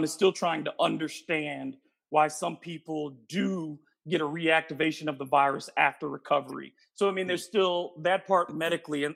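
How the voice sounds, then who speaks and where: Male co-host, gravelly higher voice, casual analytical sports talk. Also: fairly bright tone. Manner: thinks aloud with restarts, then firm. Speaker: podcast co-host.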